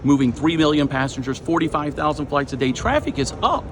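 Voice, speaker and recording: A man's voice: fairly high pitch, very animated, a little fast. An American man making an emphatic statement to the press as a workers' representative. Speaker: air traffic controllers' representative. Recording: clear urban ambient noise behind.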